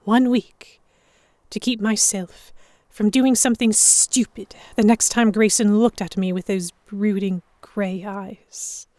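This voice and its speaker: Woman acting as anxious captive young noblewoman, first-person narration, measured, introspective, middle pitch, dry close recording, historical romance drama. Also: fairly even intonation, mellow, dry recording, natural voice. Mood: anxious dread.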